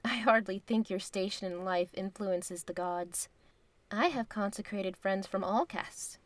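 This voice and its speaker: Lady playing composed divine woman, frank, formal wording, medium pitch, dry audio drama sound. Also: no room sound, close to an everyday voice. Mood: matter-of-fact. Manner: measured, formal phrasing.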